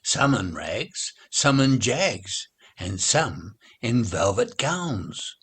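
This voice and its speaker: A man reciting children's nursery rhymes in an expressive, theatrical, playful way. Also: mid-range voice, husky. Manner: slow, theatrical, playful delivery.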